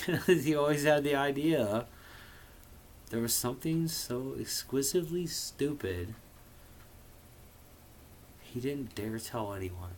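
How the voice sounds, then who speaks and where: Animated man reading aloud at an unhurried pace. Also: medium pitch, slight background noise. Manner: theatrical, playful, irreverent. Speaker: amateur reader of a novel. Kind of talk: casual book reading with ad-lib asides.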